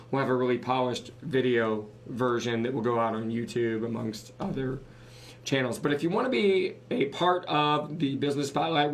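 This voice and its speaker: A man co-hosting a live podcast, losing his voice, talking casually and cracking jokes. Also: medium pitch. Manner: joking, loose, rambling. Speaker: podcast co-host.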